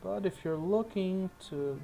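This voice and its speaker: Fantasy town official, acted by a man: measured, pondering, slow delivery, slightly high male pitch. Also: vocal fry, dark tone, faint music behind. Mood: helpful, measured. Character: unhurried, hesitant male town trade official.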